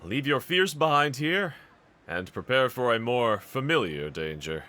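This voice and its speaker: A man playing a brave, tender young swordsman in an anime audio roleplay, speaking gently and earnestly to a companion in formal phrasing. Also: medium pitch, sing-song, measured pace. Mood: bright, encouraging.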